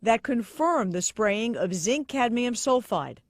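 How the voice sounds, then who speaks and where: Female American TV news reporter, slightly high voice, scripted investigative narration, very dry close sound. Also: expressive, takes it slowly, no echo.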